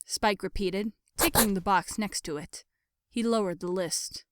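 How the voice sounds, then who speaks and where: A woman reading scripted dark fiction as a narrator, measured and dramatic. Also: medium pitch, a little hiss or hum.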